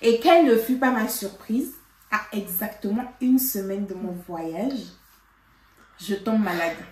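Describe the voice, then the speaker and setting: A woman vlogger telling a story in casual chat, animated and laughing, with lots of fillers. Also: mid-range voice, a little slow. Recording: roomy, from a distance.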